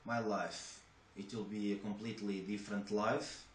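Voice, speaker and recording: A man's voice: lowish voice, fairly even intonation, moderate pace. Portuguese-accented young man, echoey room. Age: young adult. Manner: hesitant, many restarts and fillers. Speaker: job applicant. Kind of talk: interview answers. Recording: echoey room with faint noise.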